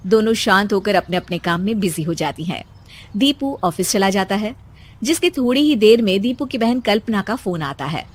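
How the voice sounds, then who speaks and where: A woman's voice: medium pitch, lively intonation, normal speed. A woman reading scripted narration for a cartoon family story.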